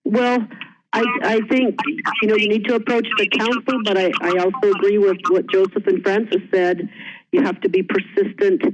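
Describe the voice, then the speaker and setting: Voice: medium pitch, flat delivery, moderate pace. A panelist making an informal comment, thinking aloud and repeating words while speaking. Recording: dull call audio with clear noise.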